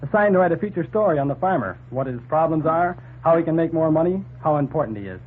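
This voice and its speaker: Fast-talking 1940s radio newspaperman. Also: on the high side, expressive. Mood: sociable. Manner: breezy, bantering. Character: brisk, wisecracking male newspaper reporter. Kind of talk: 1940s radio drama acting. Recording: tinny, noisy 1940s radio recording.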